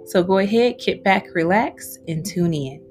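A woman's voice: lowish voice, lively intonation, a little slow, music in the background. A woman hosting an interview show, upbeat and encouraging toward her guest. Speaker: podcast host.

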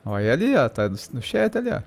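A man joking around on a podcast in an animated way. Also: medium pitch, quick pace, faint music behind. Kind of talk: casual chat.

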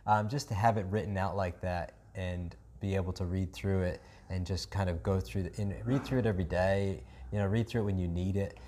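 Casting: New Zealand male host, low voice, eager, meandering chat. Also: steady tone, brisk, hardly any pauses, dark tone, a little hiss or hum. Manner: enthusiastic, rambling with many fillers. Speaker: podcast host. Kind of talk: casual chat.

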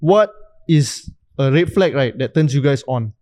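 A man hosting a casual podcast chat, quick and playful, often laughing. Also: mid-range voice, animated, a little mellow. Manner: fast, laughing, playful interviewer. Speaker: podcast host.

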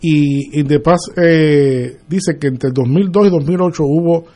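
Factual man, higher voice. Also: warm tone. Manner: quick, factual interjections and reading out figures. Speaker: radio panelist. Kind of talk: political radio panel discussion.

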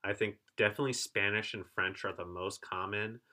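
A man's voice: fairly low pitch, fairly quick. A man, telling stories in a casual podcast chat and often checking in with "right?". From Korea. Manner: relaxed, chatty, frequent restarts and "right?" checks. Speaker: podcast co-host. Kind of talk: casual conversational storytelling.

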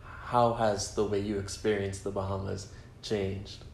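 A man hosting a talk show, interviewing his sister with long, measured questions. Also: fairly low pitch, flat delivery, gravelly, darkish tone, slight background noise. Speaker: host. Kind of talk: podcast interview. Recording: echoey room, faint ambience.

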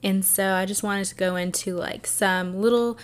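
A young woman hosting her own podcast, talking alone in a soft, soothing and earnest way, with many fillers. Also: medium pitch, fairly even intonation, moderate pace, clear, resonant tone. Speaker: podcast host. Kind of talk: reflective solo podcast talk.

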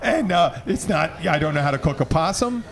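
A man's voice: on the high side, expressive, a little fast, creaky. A man hosting a casual podcast, rambling and laughing often, trailing off mid-sentence. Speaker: podcast host. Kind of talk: casual banter.

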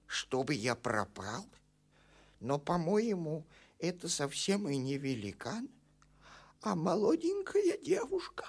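An actor playing a pedantic dwarf in a cartoon, reasoning things out deliberately. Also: male voice, fairly high pitch, wildly swooping pitch, breathy edge, heavily acted. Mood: amazed. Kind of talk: cartoon voice acting.

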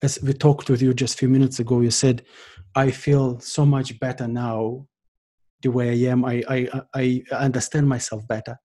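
Husky-voiced male interview host. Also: medium pitch, little pitch movement, normal speed, mellow. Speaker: podcast host. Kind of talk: interview hosting.

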